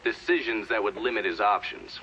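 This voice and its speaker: A man's voice: lowish voice, fairly quick, natural voice. A man playing a witness giving testimony in a game cutscene, candid, explanatory and matter-of-fact. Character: candid witness testifying about a crime war. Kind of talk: testimony in cinematic game acting. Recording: dull, band-limited sound, faint noise.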